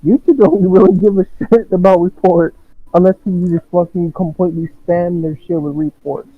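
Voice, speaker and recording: A man's voice: sits high, fairly even intonation, moderate pace, narrow, tinny audio. A man in casual stream chat, teasing the others and laughing. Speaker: stream guest.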